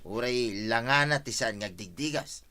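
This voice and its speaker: A man's voice: medium pitch, unhurried, sharp tone. A man playing a penniless, wisecracking husband in an Ilocano radio comedy-drama, speaking with an Ilocano accent. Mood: wheedling, joking. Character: penniless, joking husband who buys on credit.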